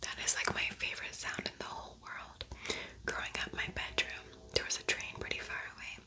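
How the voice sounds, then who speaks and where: A woman speaking in a breathy whisper, chatting informally and softly as she hosts an ASMR makeup tutorial. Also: a little fast. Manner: soft-spoken, informal, chatty. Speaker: beauty ASMR video creator.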